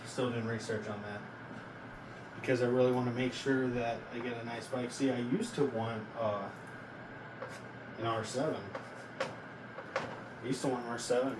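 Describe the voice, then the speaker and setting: A young man in his twenties speaks in a medium-pitched voice, caught in an echoey space some way from the microphone with clear background noise. He rambles casually and haltingly, thinking aloud and putting questions to himself as a vlogger would. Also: fairly even intonation. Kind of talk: casual self-reflective vlog talk. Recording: echoey, noisy, distant from mic.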